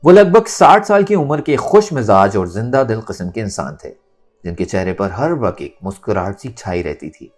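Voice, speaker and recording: A man's voice: lowish voice, sing-song, normal speed, a little mellow. A man narrating a dramatized Urdu novel expressively, acting out its dialogue. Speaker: audiobook narrator. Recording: music under the voice. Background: background music.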